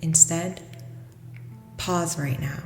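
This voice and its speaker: A woman with a low voice guides a meditation over music, in long, washy reverb. She gives gentle, scripted instructions and leaves generous pauses between them. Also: steady tone. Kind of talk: guided meditation, slow soothing scripted narration.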